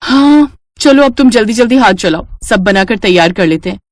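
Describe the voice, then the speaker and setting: A woman's voice: medium pitch, lively intonation, moderate pace, no room sound, pitched below the performer's own voice, natural voice. A woman voicing a dutiful, earnest, fussing young sister-in-law in a Hindi cartoon story. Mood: concerned. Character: dutiful, protective elder sister-in-law, young Indian housewife.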